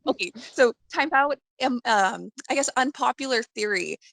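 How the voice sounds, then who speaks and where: A woman's voice: a little high, animated, moderate pace, vocal fry, a bit bright, no echo. A woman chatting casually as a podcast guest, in a playful and giggly manner. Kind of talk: casual chat about games and films.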